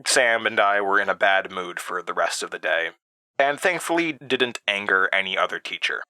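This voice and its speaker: A man narrating a first-person horror story in a deliberate, halting manner with dramatic pauses. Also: sits low, expressive, pushed and bright, dry recording, rough recording.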